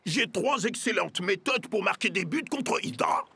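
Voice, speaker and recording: A man's voice: high-pitched, animated, moderate pace, creaky, bright, forceful tone, slightly rough, full character voice. An actor playing a firm, blunt male football team leader in a French cartoon dub. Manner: commanding, blunt. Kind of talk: French animated series dubbing.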